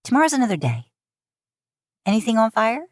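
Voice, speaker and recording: A woman reader acts out a warm, bubbly female friend with a motherly streak. The voice sits well above her usual pitch and moves in lively swings, upbeat and affectionate, on a dry, close recording for an audio story. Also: brisk, no echo. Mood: brisk. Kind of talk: fan fiction audio story reading.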